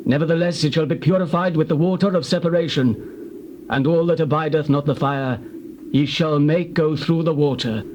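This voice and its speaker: Solemn priest, fairly high male voice, acted. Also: darkish tone, slight background noise, lightly acted. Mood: solemn instruction. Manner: measured, formal, instructing. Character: Eleazar, solemn male priest declaring the law. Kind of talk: dramatized scripture acting.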